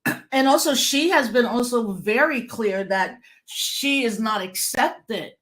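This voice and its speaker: A woman hosting a casual interview, who talks in a conversational, affirming way and often clears her throat. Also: mid-range voice, a bit bright. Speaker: host.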